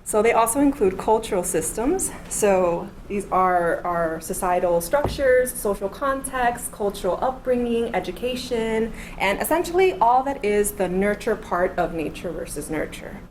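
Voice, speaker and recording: A woman's voice: mid-range voice, lively intonation, normal speed, hardly any pauses, darkish tone, slight background noise, low-quality recording. A woman in her thirties from Miami, a postdoctoral researcher giving an academic talk. She explains her points step by step and works through them in order. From Miami, United States. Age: 30s. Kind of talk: academic lecture. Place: lecture room.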